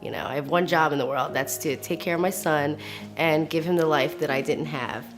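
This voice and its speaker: Low-pitched woman in her thirties. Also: fairly quick. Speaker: client of a charity, mother. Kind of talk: personal testimony in an interview. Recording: faint music behind the voice. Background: faint music.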